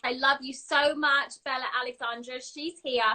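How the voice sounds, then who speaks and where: A woman's voice: high-pitched, steady tone, moderate pace, sharp tone. A woman hosting a live online interview, effusive and encouraging, often turning to address viewers. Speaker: host.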